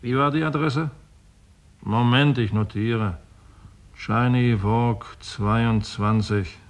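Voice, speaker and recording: An actor playing a dutiful, efficient police sergeant in a 1960s radio crime drama, clipped and businesslike. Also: male voice, medium pitch, steady tone, takes it slowly.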